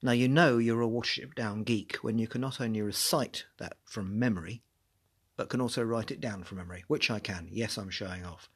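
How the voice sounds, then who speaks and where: A man's voice: fairly low pitch, brisk, a bit bright, slightly rough. An English man hosting a podcast, talking through a book chapter in a chatty, musing way with many restarts. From England. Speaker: podcast host.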